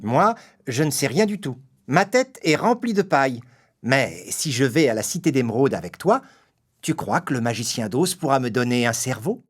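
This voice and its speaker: A man's voice: mid-range voice, sing-song, moderate pace, breathy edge. A man voicing a friendly, naive talking scarecrow in a children's cartoon story. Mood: naive, hopeful. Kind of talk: children's audio story acting.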